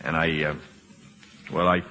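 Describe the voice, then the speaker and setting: An actor playing a gruff, guarded war veteran, terse and halting, with a husky voice, in an old-time radio drama. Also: male voice, rumbling low, level tone, bright, forceful tone, soft background music, full character voice. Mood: awkward. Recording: reverberant old radio broadcast.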